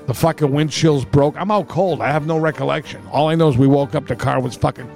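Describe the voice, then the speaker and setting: A middle-aged man, telling stories casually on a podcast in a blunt, profane, animated way. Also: a little high, fast, vocal fry, soft background music. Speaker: podcast co-host. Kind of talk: casual comic storytelling among friends.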